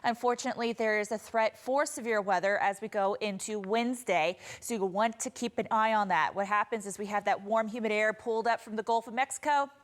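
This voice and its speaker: A woman's voice: medium pitch, fairly quick, hardly any pauses. An American woman working as a TV meteorologist, giving an ad-libbed forecast in a chatty, upbeat presenter style. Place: TV studio.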